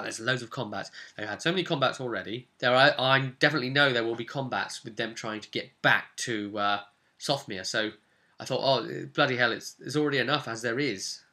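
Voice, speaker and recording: A man's voice: mid-range voice, bright, forceful tone. A man recounting a tabletop role-playing session as its game master, in an informal storytelling way with brief acted-out quotes. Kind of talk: informal spoken recap, storytelling.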